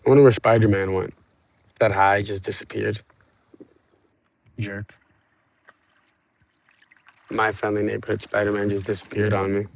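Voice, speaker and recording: A man's voice: on the low side, level tone, a little slow, vocal fry, pauses often, no room sound. Profane, rambling Cuban man on the water. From Cuba. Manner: profane, rambling, stoned banter with viewers. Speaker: livestreamer. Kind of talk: rambling livestream chat. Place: outdoors on open water in a small boat. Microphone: phone.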